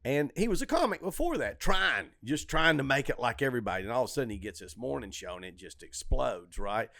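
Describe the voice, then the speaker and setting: A middle-aged man chatting casually as a podcast host, telling stories in an animated, opinionated way and swearing freely. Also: mid-range voice, brisk, dry recording, studio quality. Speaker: comedy club owner and comedian, podcast host. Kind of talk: casual shop-talk chat.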